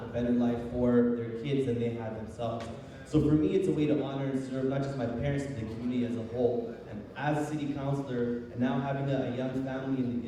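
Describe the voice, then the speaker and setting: Male council candidate, quick and wordy, earnest, very mellow tone, recorded at a distance in a reverberant hall. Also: mid-range voice. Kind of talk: campaign closing speech at a public debate. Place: large hall, public debate. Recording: very echoey hall, distant microphone.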